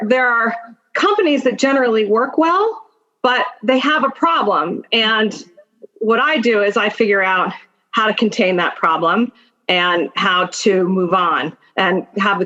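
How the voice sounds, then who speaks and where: A woman's voice: mid-range voice, pushed and bright. Woman in her fifties, dull lo-fi audio. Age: 50s. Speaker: interview guest, lawyer. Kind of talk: conversational personal storytelling.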